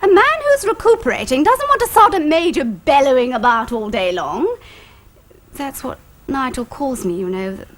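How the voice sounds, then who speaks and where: An actress playing a loud, bossy middle-aged neighbour woman who talks in a booming, brisk and hearty way, in a British TV sitcom. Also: big pitch swings. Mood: apologetic. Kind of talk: 1980s British sitcom acting.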